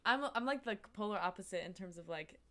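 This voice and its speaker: A woman's voice: mid-range voice, expressive, fast, fairly bright tone. Easygoing woman guest with short replies. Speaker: guest performer. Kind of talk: casual interview chat.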